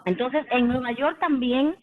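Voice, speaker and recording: A woman's voice: sits high, fairly even intonation, a little slow, crowd noise. A woman in her forties, from the Dominican Republic, telling her own story in a leadership talk on a video call, with many "em" and "eh" fillers. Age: 40s. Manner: warm conversational, frequent "em" and "eh" fillers. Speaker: company executive giving a leadership talk. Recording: phone-like online meeting audio.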